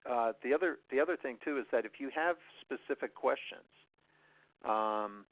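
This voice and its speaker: A man's voice: mid-range voice, moderate pace. A man giving marketing advice in an unscripted webinar answer, rambling with many restarts and fillers. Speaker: guest presenter, marketing expert. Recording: tinny phone-line audio.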